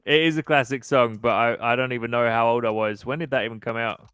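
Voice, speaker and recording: Easygoing man in his thirties, smooth voice. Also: mid-range voice, fairly even intonation, fast talker, a bit bright, no echo. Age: 30s. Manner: easygoing, laughs often, reads viewer comments aloud. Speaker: podcast host. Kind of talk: casual livestream chat.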